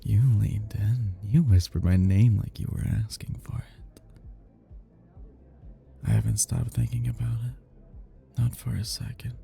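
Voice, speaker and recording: Guy playing ur cocky flirty best friend, real low mellow voice, murmury n whispery, romantic asmr thing. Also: fairly even intonation, a little slow, long pauses, soft background music. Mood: teasing, intimate. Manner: breathy murmur dropping into whispers, teasing. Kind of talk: intimate romantic ASMR roleplay.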